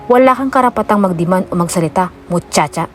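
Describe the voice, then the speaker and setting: A woman's voice: mid-range voice, expressive, fast, lightly acted. Woman putting on a haughty, menacing rich old man. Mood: contemptuous. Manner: commanding, smug. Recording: music behind the voice.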